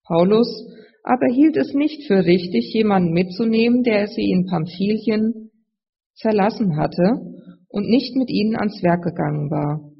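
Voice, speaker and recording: Husky preacher with a slight cold, echoing hall. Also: mid-range voice. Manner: measured, explanatory, speaking to a congregation. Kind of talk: sermon with scripture reading. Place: large reverberant room, live address. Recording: muffled, long hall reverb.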